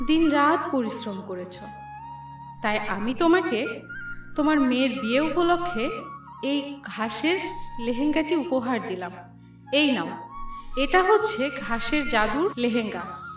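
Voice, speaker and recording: A woman voicing a kind, gentle grass fairy in a cartoon fairy tale, comforting, with an echo effect on the voice. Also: high-pitched, animated, unhurried, pauses often. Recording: heavy echo, distant, music behind.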